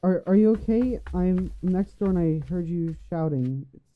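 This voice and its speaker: A woman's voice: lowish voice, animated, normal speed, natural voice. A woman acting a concerned, hesitant next-door neighbour in an audio drama, stammering as she speaks. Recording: muffled, thin phone-like band.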